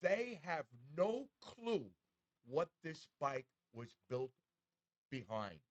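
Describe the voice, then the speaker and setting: A man's voice: a little high, very animated, extremely slow, no room sound. Earnest man in his seventies, muffled. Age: 70s. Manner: earnest, encouraging, repeats himself for emphasis. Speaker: show host. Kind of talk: casual interview chat. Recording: dull, muffled audio.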